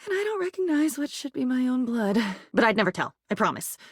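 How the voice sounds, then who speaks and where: A woman's voice: high voice, very animated, fairly quick, husky, no echo, clean studio sound, full character voice. A woman voicing an anxious, lonely living diary in a video game, rambling and disjointed, lurching between moods and volumes in a theatrical way. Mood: anguished, desperate.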